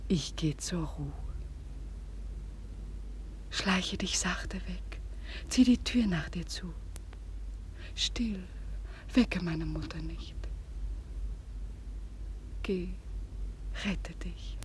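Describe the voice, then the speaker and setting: An actress playing a despairing young woman in a 1940s German radio drama, with slow, breathy, solemn declamation on a noisy old recording. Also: lowish voice. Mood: hushed farewell. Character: despairing young woman bidding farewell before suicide.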